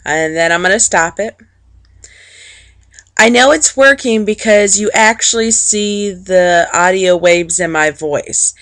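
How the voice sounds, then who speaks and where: A woman teacher narrating an informal, plain-spoken software walkthrough step by step, explaining things for students. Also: medium pitch, slow pace, no echo. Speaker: teacher giving a software tutorial.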